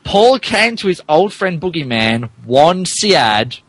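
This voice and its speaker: Animated man reading mock-dramatic fan fiction. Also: a little high, takes it slowly, no echo. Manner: stumbles over words, mock-dramatic asides. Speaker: podcast host reading as narrator.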